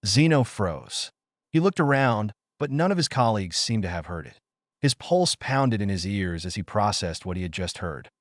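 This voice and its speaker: A man's voice: lowish voice, dry recording, clean studio sound. A man reading a scripted story in a dramatic, suspenseful narrator's style.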